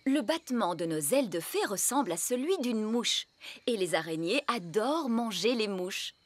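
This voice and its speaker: A woman's voice: medium pitch, expressive, normal speed, close to an everyday voice. A woman voicing a proper, instructive adult fairy in a cartoon. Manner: polite, explanatory.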